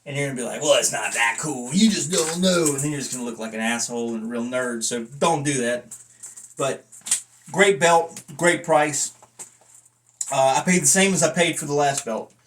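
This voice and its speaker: A man's voice: mid-range voice, moderate pace, a bit bright, a little hiss or hum. A man talking casually to camera in a rambling review, with a blunt, self-deprecating manner. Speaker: vlogger and wrestling belt collector reviewing a belt.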